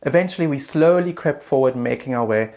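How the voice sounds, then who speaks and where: A man's voice: mid-range voice, animated, fairly quick, vocal fry, heavy background noise. A man narrating his own travel story in a casual, self-mocking voice-over.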